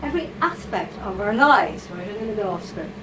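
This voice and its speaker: A woman giving a chatty, informal talk to a live audience, full of asides, fillers and quick "okay?" checks. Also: mid-range voice. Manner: chatty, animated, with frequent fillers and tag questions. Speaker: writer giving a reading. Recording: audible background noise.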